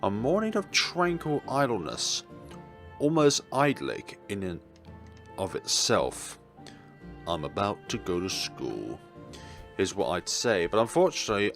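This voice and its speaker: Low-voiced man narrating, very animated pitch. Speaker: let's player reading a visual novel aloud. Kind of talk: expressive read-aloud narration of game text. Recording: music playing behind the voice. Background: game background music.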